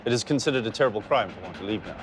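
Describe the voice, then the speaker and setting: A man's voice: on the low side, animated, rapid-fire, over music, close to an everyday voice. An actor playing a polite, formal Russian émigré man in a period film drama. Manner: courteous, formal.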